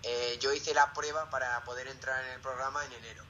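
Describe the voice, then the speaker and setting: Late-teen male, Spain Spanish accent, casual interviewee, very bright forward tone, slight background noise. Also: medium pitch, flat delivery, brisk, dull audio. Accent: Peninsular Spanish. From Zaragoza, Spain. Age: late teens. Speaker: interviewee, student.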